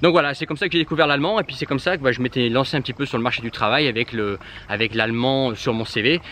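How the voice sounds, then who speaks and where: Guy talking quick, casual, talks right at you, lots of fillers and restarts, faint traffic noise behind. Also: medium pitch, a bit bright. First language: a Germanic language. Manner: informal, addresses the viewer directly, many fillers and restarts. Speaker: vlogger giving language-learning advice. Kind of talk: casual direct-to-camera advice.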